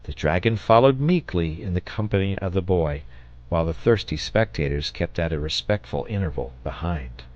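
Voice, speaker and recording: Guy reading a storybook, low voice that moves around a lot, kinda muffled lo-fi audio. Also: animated, moderate pace. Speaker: audiobook narrator. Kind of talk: expressive storybook narration. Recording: dull, lo-fi audio.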